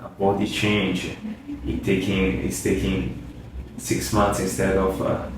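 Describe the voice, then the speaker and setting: A man in his thirties, a gym co-founder, speaking haltingly on a podcast, with many fillers and restarts. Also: low-pitched, flat delivery, distant mic. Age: 30s. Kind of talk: podcast conversation. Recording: roomy echo, off-mic, background noise.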